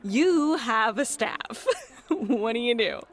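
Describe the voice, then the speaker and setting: High-voiced, animated woman as fantasy game master. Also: takes it slowly. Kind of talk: playful second-person fantasy narration, ASMR roleplay.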